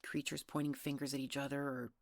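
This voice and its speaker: A woman's voice: extremely low pitch, level tone, fast, no room sound. A woman playing a proud, defiant queen of magical creatures, haughty and theatrical, in a fantasy audio roleplay. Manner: haughty, theatrical, swinging from cold to anguished.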